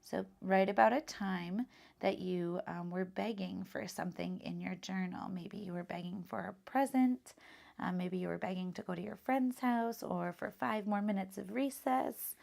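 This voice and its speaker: A woman reading a children's picture book aloud as a teacher, in an expressive, instructive style. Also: mid-range voice, moderate pace, vocal fry.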